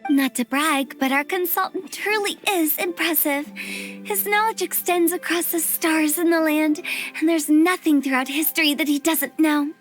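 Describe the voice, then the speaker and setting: A woman voicing a bubbly, mischievous young funeral parlor boss in a game, bright and chatty. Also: high voice, moderate pace, natural voice. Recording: game cutscene with soft music behind.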